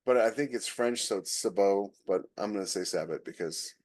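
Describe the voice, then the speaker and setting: Casual male podcaster, somewhat low voice. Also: brisk, darkish tone. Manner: conversational, explanatory. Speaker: podcast co-host. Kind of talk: casual chat.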